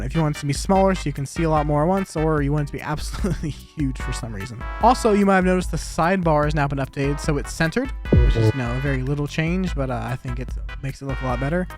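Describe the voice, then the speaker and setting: A young man giving an informal, chatty, matter-of-fact video walkthrough as a software developer. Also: fairly high pitch. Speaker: software developer presenting own app update. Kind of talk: casual scripted video walkthrough. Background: background music.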